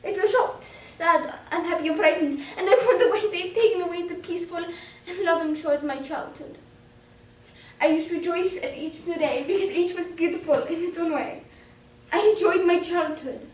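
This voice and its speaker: A woman performing a dramatic monologue as a frightened, anguished young wartime girl, emotive and deliberate in delivery. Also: extremely high pitch, darkish tone. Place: indoor room, recorded from a distance. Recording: roomy echo, distant microphone.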